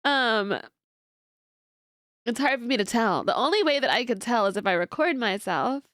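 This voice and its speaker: A woman's voice: on the high side, animated, moderate pace, fairly bright tone, no room sound, clean studio sound. A young woman streamer chatting casually with a congested, nasal voice, rambling as she answers her chat. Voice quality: nasal, stuffed-up voice. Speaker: livestreamer. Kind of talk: casual stream chat while watching videos.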